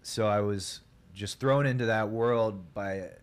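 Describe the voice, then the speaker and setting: Deep-voiced laid-back man rambling. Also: slow, clear, resonant tone. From Columbus, Ohio. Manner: rambling, laid-back storytelling with many fillers. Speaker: podcast guest. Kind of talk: casual podcast chat.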